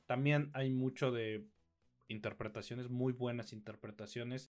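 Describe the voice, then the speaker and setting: Mexican man chatting through a film review. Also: mid-range voice. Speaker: film podcast co-host.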